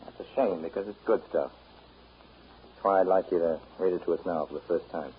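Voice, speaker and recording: A man's voice: low-pitched, brisk, a little hiss or hum, natural voice. An actor playing a plain-spoken, fatherly American man telling stories to a boy in a folksy way in a 1930s radio drama. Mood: fatherly, earnest. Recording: muffled 1930s radio recording with music.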